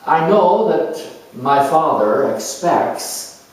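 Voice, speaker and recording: Casting: man portraying a jaded young son in a dramatic monologue, halting and slow, recorded in a very echoey room. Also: a little high, expressive, pitched above the performer's own voice. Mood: resigned, resentful. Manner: weary, halting, resigned. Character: bored, burdened young Capricorn man resenting his father. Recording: distant mic in echoey room.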